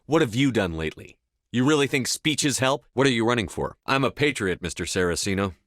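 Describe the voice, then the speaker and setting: A man's voice: mid-range voice, expressive, a little fast, fairly bright tone, no echo, studio quality, natural voice. An actor playing a blunt, resolute male military commander in a sci-fi video game, with terse, assertive, controlled delivery. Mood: firm, composed. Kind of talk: scripted video game dialogue.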